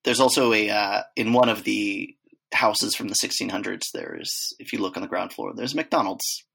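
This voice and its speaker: A man's voice: lowish voice, normal speed, gravelly, fairly bright tone, husky, poor audio. A man co-hosting a casual chat, telling rambling travel stories with lots of fillers and acted-out quotes. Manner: rambling storyteller, acts out quotes, many fillers.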